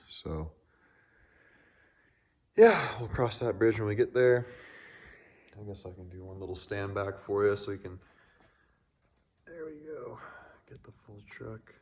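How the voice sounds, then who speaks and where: A man with a deep, low voice gives a casual, unscripted walkaround vlog. He sounds tired and offhand. His manner is crude and joking, with easy swearing. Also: darkish tone. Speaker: vlogger showing truck upgrades.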